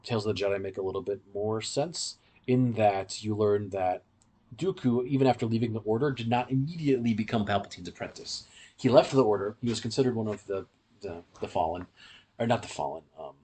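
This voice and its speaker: A man's voice: lowish voice, fairly quick, a little mellow, breathy edge. A man co-hosting a podcast, giving casual, in-depth fan commentary in a rambling, explanatory way. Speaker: podcast co-host.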